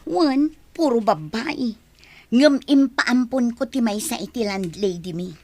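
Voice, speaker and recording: Hard-pressed young mother, high pitch, dry recording, stubborn, defensive, radio play. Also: measured pace, dry recording. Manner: defensive, matter-of-fact. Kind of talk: radio drama acting.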